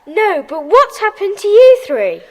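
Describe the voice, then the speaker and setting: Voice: very high-pitched, huge pitch swings, measured pace, dull audio, exaggerated voice. An actor playing an eager, helpful schoolboy in a children's audio drama. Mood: surprised, eager. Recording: echoey hall reverb.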